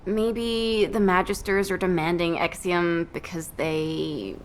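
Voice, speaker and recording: Sarcastic, griping squad member. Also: female voice, fairly low pitch, fairly even intonation, takes it slowly, slight background noise. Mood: exasperated, wry. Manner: casual, griping. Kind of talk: video game voice acting.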